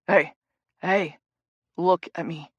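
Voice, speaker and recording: A woman voicing a gentle young male hockey player who comforts his partner in low, soft murmurs. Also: fairly even intonation, slow pace, slightly rough, dry recording, dull audio. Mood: soothing.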